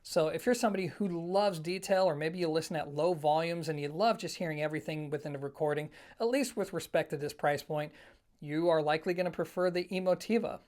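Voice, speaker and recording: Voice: medium pitch, fast talker, darkish tone. A reviewer presenting an informal product comparison, explaining things conversationally and speaking directly to viewers. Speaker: host of an audio equipment review channel.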